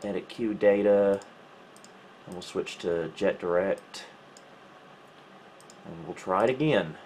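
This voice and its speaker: A man's voice: low-pitched, slow, a little mellow, slight background noise. A man talking casually and wryly as he thinks aloud through tech troubleshooting, hosting a home video indoors. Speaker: host of a retro computing video. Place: indoors, small home room.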